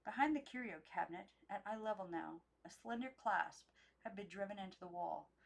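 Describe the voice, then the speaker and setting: A young woman reads a storybook aloud in an unedited, expressive narration with occasional stumbles. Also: medium pitch, a little fast, gravelly. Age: young adult. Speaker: amateur book reader.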